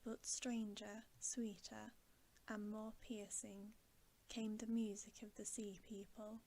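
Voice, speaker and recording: A woman gives a hushed ASMR bedtime reading of a children's fantasy book. She goes very slowly and evenly, often dropping into a whisper. A steady hiss sits behind her voice. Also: medium pitch. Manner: soft, hushed, often whispering, slow and deliberate. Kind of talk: ASMR bedtime story reading, scripted narration.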